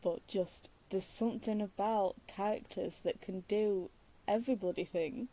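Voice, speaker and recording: A woman's voice: medium pitch, fairly even intonation, normal speed, mellow, low-quality recording. A woman with a British accent giving casual, opinionated, wry commentary as she plays a game. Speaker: game streamer.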